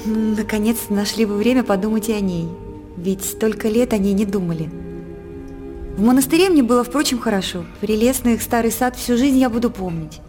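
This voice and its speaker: An actress playing a young, innocent princess, composed and gently reproachful, in a theatrical radio drama. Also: female voice, on the high side, brisk, over music, natural voice. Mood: wistful reproach.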